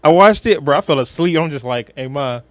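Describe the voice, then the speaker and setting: Man, fairly high voice, podcast co-host, slangy and exclamatory, casual chat, dry close sound. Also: expressive, a little fast, fairly bright tone, no room sound. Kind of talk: casual chat about movies and games.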